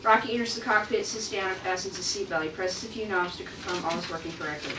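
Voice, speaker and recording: A woman narrating stage directions at a script table read, in an informal, halting way. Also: lowish voice. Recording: echoey room, distant mic, noisy.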